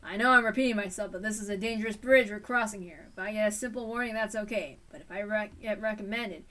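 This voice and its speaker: A wry older male detective played by a woman reader in a story. He speaks emphatically and cautions his men in a forceful, bright voice. The recording is slightly distant. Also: medium pitch, fast talker, close to an everyday voice. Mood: grave warning.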